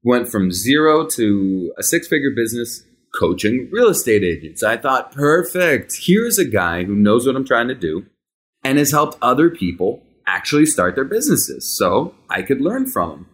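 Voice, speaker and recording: A man's voice: medium pitch, big pitch swings, fairly bright tone. A man in his thirties whose first language is Hebrew, hosting a podcast and telling a personal story in a candid, conversational way. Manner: candid, conversational, tempo shifts between rushed and drawn out. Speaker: podcast host.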